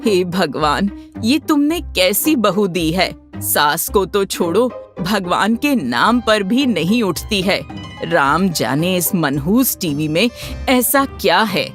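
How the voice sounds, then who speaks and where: Woman putting on a scolding middle-aged saas, lively swings in pitch, nagging, exasperated, cartoon family tale, music behind. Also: slow. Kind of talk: Hindi cartoon moral story dialogue.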